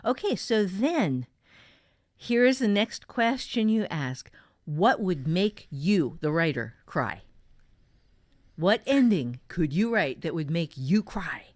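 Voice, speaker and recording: A woman's voice: fairly low pitch, lively intonation, slow pace, dry recording, clean studio sound. A woman hosting a casual talk on writing, giving advice earnestly and thinking aloud with emphatic repetition. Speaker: podcast host and novelist.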